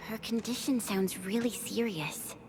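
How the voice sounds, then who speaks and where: A woman's voice: mid-range voice, fairly even intonation, a little slow, background noise, close to an everyday voice. An actress playing a friendly, cheeky young woman in a video game, informal and direct. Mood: concerned. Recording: music behind.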